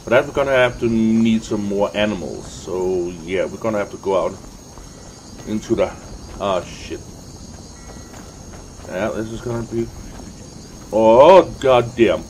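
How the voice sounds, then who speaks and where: A man's voice: lowish voice, lively intonation, a little slow, long pauses, a little mellow, poor audio. A man giving casual gameplay commentary, thinking aloud in hesitant mutters. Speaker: let's play host. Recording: constant background noise and game ambience.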